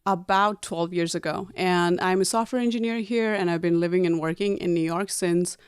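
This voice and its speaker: A woman in her thirties from Nepal co-hosts a relaxed podcast in a slightly low, smooth, clear voice. She talks in a chatty way, laughs easily and restarts her sentences as she goes. The sound is dry and close. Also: moderate pace, no echo. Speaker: podcast co-host. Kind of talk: casual conversational podcast chat.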